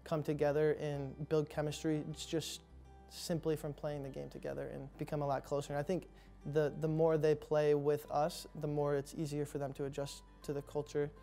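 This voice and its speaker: A young man who captains his college soccer team, with a slightly high but mellow voice. He speaks earnestly in an even tone, with faint music underneath. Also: moderate pace, vocal fry, few pauses. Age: young adult. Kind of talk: documentary interview.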